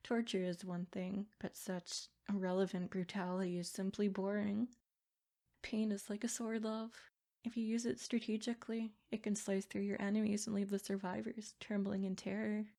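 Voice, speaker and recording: A woman's voice: medium pitch, little pitch movement, normal speed, gravelly, mellow, close to an everyday voice. A woman voicing a sadistic, possessive princess in an ASMR roleplay, speaking in formal, haughty phrases with silky menace. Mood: haughty, musing. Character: sadistic, possessive yandere princess, smug captor. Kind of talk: ASMR roleplay, scripted character monologue.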